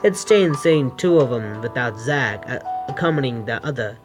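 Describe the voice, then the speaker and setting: A man's voice: mid-range voice, animated, normal speed. A man hosting a gaming video, reading a visual novel aloud in an informal, stumbling way with self-corrections. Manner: stumbling, informal reader who corrects himself. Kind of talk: gameplay read-aloud narration with asides. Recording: music clearly behind the voice. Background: game background music.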